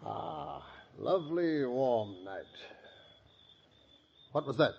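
A man's voice: medium pitch, animated, extremely slow, creaky, a little hiss or hum, heavily acted. An actor playing a pompous, bossy middle-aged father in an old-time radio comedy. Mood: firm. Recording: dull vintage radio recording.

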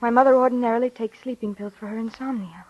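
A woman's voice: a little high, fairly even intonation, fast, close to an everyday voice. A woman playing an anxious, earnest daughter who narrates and acts in an old-time radio suspense drama. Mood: anxious, placating. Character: anxious, soothing unmarried daughter narrating her ordeal. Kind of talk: dramatic first-person radio narration and acting. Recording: dull old radio recording, music behind. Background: music.

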